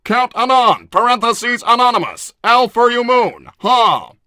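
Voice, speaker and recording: A man's voice: very high voice, expressive, a little slow, pushed and bright, clear, resonant tone, dry recording, studio quality. A man co-hosting a livestream, casually reading viewer messages aloud.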